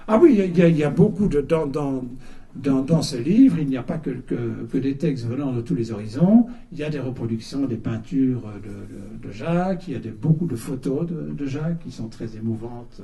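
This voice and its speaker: An older man giving a talk at a book launch in a bookshop, hesitant, repeating words and pausing with many fillers, recorded from a distance. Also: mid-range voice, animated, creaky, mellow. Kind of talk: halting talk to a small audience. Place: bookshop, indoors. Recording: distant mic in a room.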